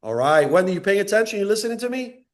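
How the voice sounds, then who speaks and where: A man's voice: sits high, big pitch swings, fast. A man born in Cuba and raised in Miami giving an enthusiastic sales presentation on a live call, teasing listeners by name.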